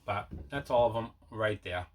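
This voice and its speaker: A man's voice: fairly low pitch, moderate pace, a little hiss or hum. A man casually explaining items in an unscripted show-and-tell at home, matter-of-fact in manner. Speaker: host of collecting vlog. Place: indoors at home.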